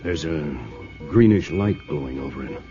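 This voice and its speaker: A man's voice: very deep voice, expressive, moderate pace. An actor playing a skeptical male army general with a clipped, matter-of-fact manner, in a 1950s radio drama. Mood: grim. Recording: dull old radio broadcast with music.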